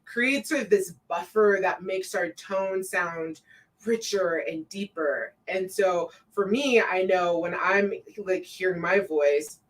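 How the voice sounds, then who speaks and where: Slow-talking woman live-stream host. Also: distant mic. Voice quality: says her own voice sounds deep to herself. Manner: relaxed, many fillers, reads viewer comments aloud. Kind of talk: casual live-stream chat.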